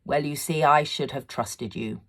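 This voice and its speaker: A gentle old storybook father, voiced by a woman, low in pitch. He speaks tenderly and earnestly, with measured care. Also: full character voice. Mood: apologetic. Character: gentle, loving old father, a master firework maker.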